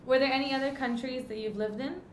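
A woman's voice: sits high, fairly even intonation, fast, slight background noise. A woman from Taiwan hosting a podcast in Mandarin and English, upbeat and asking short questions. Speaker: podcast host. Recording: clear music bed under voice.

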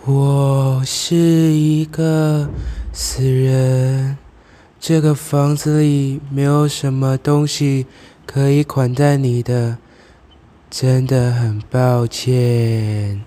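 A man's voice: mid-range voice, flat delivery, drawn-out words, dark tone. A teenage male student playing a commanding, grieving ghost of a noble wife in an amateur radio drama, speaking formally. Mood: sorrowful.

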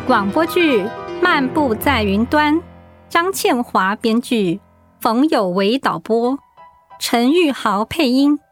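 A woman formally announcing scripted radio drama credits in a deliberate way. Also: fairly high pitch, lively intonation, faint music behind.

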